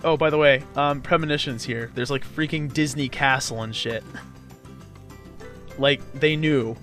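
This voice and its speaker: A man giving casual video game commentary, explaining in a halting, rambling way with sarcastic jokes. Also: mid-range voice, a bit bright. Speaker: let's play host and player. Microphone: voice-over commentary recorded over game footage. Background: game music.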